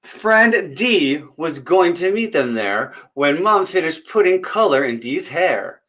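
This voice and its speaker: Higher-pitched man reading a children's story. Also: very animated, slow, a bit bright. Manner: encouraging, talks directly to young listeners. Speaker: children's story time reader. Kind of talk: read-aloud picture book storytelling with chatty asides.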